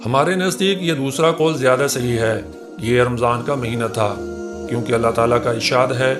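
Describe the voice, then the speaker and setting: A man's voice: medium pitch, moderate pace. A man reading a scripted religious history narration in a formal, reverent manner. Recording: music behind the voice. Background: music.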